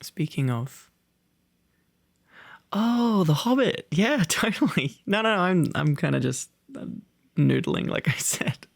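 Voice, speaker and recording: A young man talks in an intimate ASMR boyfriend style, rambling and teasing playfully. His voice is creaky and his pace unhurried, recorded close and very dry. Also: sits high, sing-song, no room sound. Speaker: ASMR roleplay performer, reader. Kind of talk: intimate ASMR boyfriend roleplay, casual chat.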